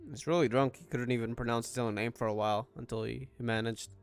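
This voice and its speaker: A man's voice: medium pitch, fairly even intonation, fast, over music. A man giving casual reaction commentary as a gaming content creator.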